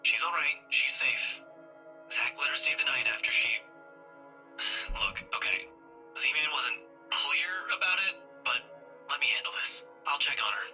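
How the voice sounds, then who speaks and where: Bright-voiced, blunt young cop. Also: male voice, mid-range voice, lively intonation, a little slow, long pauses, soft background music, low-quality recording. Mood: guarded, reassuring. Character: young police officer, calm, protective, teasing male friend. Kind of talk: visual novel voice acting.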